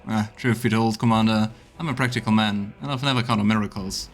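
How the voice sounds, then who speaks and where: A man's voice: fairly low pitch, fairly even intonation, fast, a little hiss or hum, lightly acted. Man voicing a hearty, plain-spoken crusader scout. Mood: frank, glad. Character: frank, practical male crusader pathfinder scout. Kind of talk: voiced reading of fantasy game dialogue.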